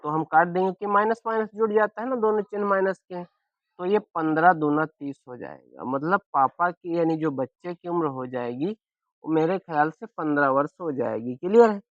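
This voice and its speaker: A man with a sore, hoarse throat teaching a maths lesson step by step, often asking if everyone is following. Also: fairly high pitch, moderate pace, dry recording, lo-fi sound. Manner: keeps asking "clear?" and "any problem?".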